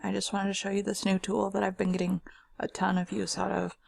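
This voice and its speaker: A woman with a scratchy, husky voice giving a casual, chatty walkthrough of a software tool on her screen, with fillers and self-corrections. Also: mid-range voice, monotone, fairly quick, no room sound, clean studio sound. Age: adult. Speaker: screen-share software demonstrator.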